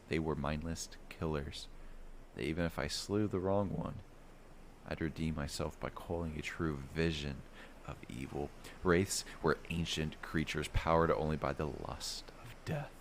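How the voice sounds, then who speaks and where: A man narrating a first-person fantasy bedtime story in a measured, dramatic storytelling manner. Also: rumbling low, vocal fry, a little hiss or hum.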